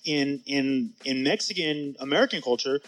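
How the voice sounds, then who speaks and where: A man's voice: medium pitch, moderate pace, fairly bright tone, faint music behind, low-quality recording. A man hosting a casual podcast conversation, earnest and agreeable, restarting his sentences often. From San Diego area, US. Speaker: podcast host.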